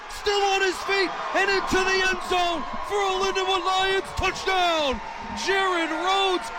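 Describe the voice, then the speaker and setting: A man in his twenties calling live American football on radio as play-by-play announcer, with an animated, excitable delivery. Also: extremely high pitch. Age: 20s. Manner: animated, builds from clipped calls to excited shouting. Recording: stadium crowd behind the commentary. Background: stadium crowd.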